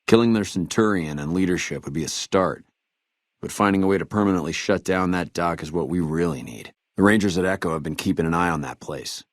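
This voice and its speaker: An actor playing a weary, dutiful army trooper in a video game, speaking in a measured, earnest soldierly way. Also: male voice, sits low, no echo, clean studio sound, lightly acted. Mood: grave, businesslike. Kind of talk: video game dialogue acting.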